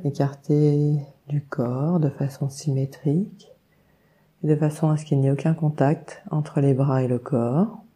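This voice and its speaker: A woman leading a guided meditation, giving calm, soothing instructions with long unhurried pauses. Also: low-pitched. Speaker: yoga nidra meditation guide.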